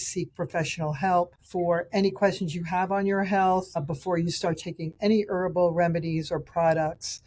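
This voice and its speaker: A raspy middle-aged woman speaks in a low, mellow voice. She chats in a loose, rambling way, full of fillers and restarts. She is recorded outdoors as the host of her own podcast. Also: a little hiss or hum. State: raspy voice from a cold. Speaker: podcast host and nutrition practitioner. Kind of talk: casual solo podcast talk reviewing products.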